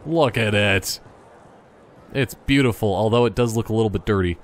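Low-voiced man rambling through game commentary. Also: normal speed. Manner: rambling, thinking aloud in tangents. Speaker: gaming YouTuber. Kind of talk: casual, jokey gameplay commentary.

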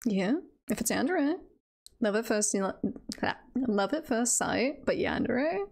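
Playful woman streamer with creaky voice. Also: on the high side, animated, a little slow, a little mellow. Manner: giggly, playful, rambling with trailing-off thoughts. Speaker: streamer and voice roleplayer chatting with viewers. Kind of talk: casual live stream chat.

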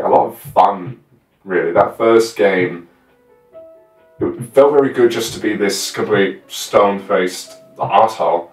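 A man in his twenties talks casually with a fairly low voice. He sounds a little way from the mic in an echoey room, with music faintly behind him. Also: far from the mic. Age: 20s. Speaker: guest telling stories of live roleplay. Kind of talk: casual chat. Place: small bedroom indoors.

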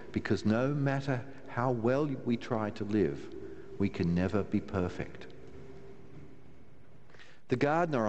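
A man's voice: lowish voice, darkish tone. Older man preaching in a reverberant church. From Australia. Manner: measured, wry preaching with slow emphatic pauses. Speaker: minister. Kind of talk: sermon. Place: large church, from the pulpit. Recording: long church reverb, faint room hiss.

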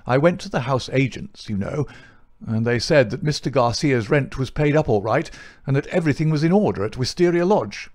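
A man voicing a pompous, indignant, respectable older gentleman in an audiobook story, formal and huffy. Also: medium pitch, animated, a little fast, voice pushed up, close to an everyday voice. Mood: flustered, huffy. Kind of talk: audiobook character acting.

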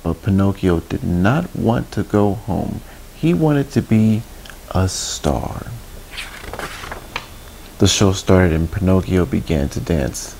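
Male storybook reader for kids: low, gravelly, very expressive, chatty asides, some background noise. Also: takes it slowly, pauses often, poor audio. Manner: slips in asides and questions to young listeners. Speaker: children's story reader, host. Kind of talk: expressive picture-book read-aloud for children. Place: small indoor room.

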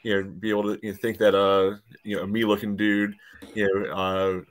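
A man with a deep voice and little variation in pitch. He rambles casually as a sports podcast panelist, padding his talk with fillers over a webcam call. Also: little pitch movement, a little fast. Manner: rambling, peppered with "you know". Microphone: webcam video call.

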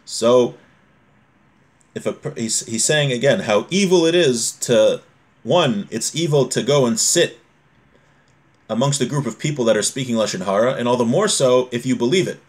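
A man's voice: medium pitch, expressive, normal speed. A man in his forties teaching an informal religious lesson, reading aloud and explaining, often repeating and rephrasing. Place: small home room, indoors.

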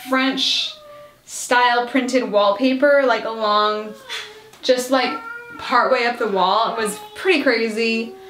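A woman's voice: high-pitched, a bit bright. A young woman chatting casually as she gives a tour of her family house, wistful and full of fillers. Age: young adult. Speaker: family vlogger. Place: suburban family house, indoors. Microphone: handheld camera held close. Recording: echoey rooms, faint noise.

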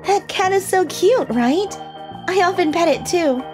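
A woman playing a friendly, gentle junior high school girl in an animated manga story. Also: measured pace, faint music behind. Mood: sweet, cheerful.

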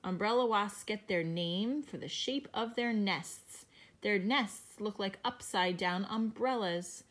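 Slow, gentle teacher reading to kids. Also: female voice, mid-range voice. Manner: slow, gentle, explains to young children. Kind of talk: children's picture book read-aloud.

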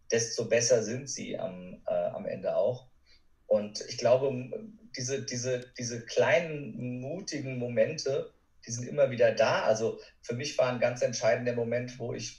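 Guy talking earnestly on a podcast, mid-pitched, sounds roomy and a bit far off the mic. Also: a little mellow. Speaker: podcast guest, courage expert. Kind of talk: reflective conversational interview answers.

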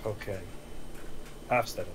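A man's voice: takes it slowly, background noise, lightly acted. A man playing an inquisitive, somewhat hesitant character in a table read of a crime film script.

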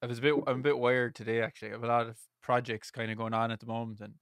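A man in his thirties with an Irish accent, hosting a podcast from his home music room with a studio mic, talking loudly and energetically and laughing often. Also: mid-range voice, level tone, very fast. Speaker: podcast host. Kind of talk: casual chat. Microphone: headset and studio mic.

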